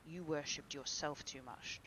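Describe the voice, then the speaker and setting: A woman's voice: low-pitched, steady tone, measured pace, a bit bright, slight background noise, poor audio. A woman voicing a horrified, anguished male painter in a dramatic audiobook, exclaiming and pleading with emphasis. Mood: pleading, grave. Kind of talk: dramatic audiobook character reading.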